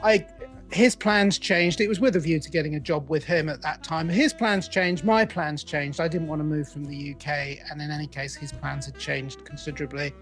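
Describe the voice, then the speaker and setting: A middle-aged man from England with a British accent, a coach telling his story as a guest in a conversational interview, animated and playing out his own quoted thoughts. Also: fairly high pitch, normal speed, soft background music. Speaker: guest, accountability coach and author.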